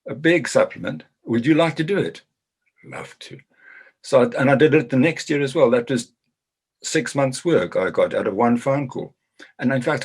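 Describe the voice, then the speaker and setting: Older south african man, husky voice, anecdotal and rambling, video-call talk. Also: mid-range voice, a little mellow. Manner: rambling, anecdotal, self-correcting. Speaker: speaker. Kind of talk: short personal talk.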